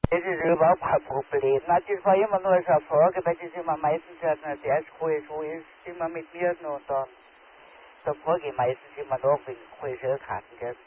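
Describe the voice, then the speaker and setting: A man's voice: fairly high pitch, fairly even intonation, moderate pace. A man with a Tyrolean accent chatting on an amateur radio contact, talking into a handheld set from his garden, rambling and homely, tagging his sentences with a question. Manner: rambling, homely, ends phrases with a tag question. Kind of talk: casual radio contact chat. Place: outdoors in a garden. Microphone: handheld radio and phone held close. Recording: tinny radio transmission with crowd behind.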